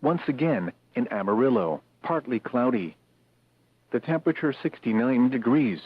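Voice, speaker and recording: A synthetic text-to-speech man reading a scripted weather bulletin as an automated radio announcer, in measured, clipped, impersonal phrases over a broadcast radio signal. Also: mid-range voice, animated, slightly rough, no echo, narrow, tinny audio.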